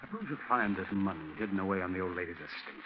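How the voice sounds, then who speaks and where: An actor playing a reformed gangster convict, resigned and firm, talking in plain tough slang in a 1940s radio drama. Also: male voice, deep voice, quick pace, lightly acted. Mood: accusing. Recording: noisy, muffled 1940s radio recording.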